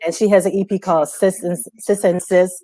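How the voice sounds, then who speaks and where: A woman's voice: fairly low pitch, fairly even intonation, brisk, warm tone, dry recording. A woman co-hosting a music talk show, chatting casually with emphatic, slangy enthusiasm. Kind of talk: casual, unscripted chat.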